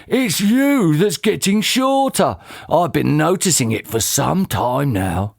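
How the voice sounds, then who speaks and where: Cruel old man, gloating, slow drawn-out taunts, swooping pitch, dry sound, as the reader puts him on. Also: big pitch swings, creaky, no echo, exaggerated voice. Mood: taunting. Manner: slow, taunting, relishing each word. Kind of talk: storybook character voice.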